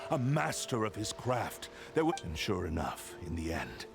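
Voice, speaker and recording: A man's voice: low voice, very animated, vocal fry, exaggerated voice. A man voicing an ancient, bitter sentient sword in a video game, speaking with grandiose menace. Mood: bitter, proud. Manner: grandiose, slow-burning menace. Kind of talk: scripted video game villain acting. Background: game music.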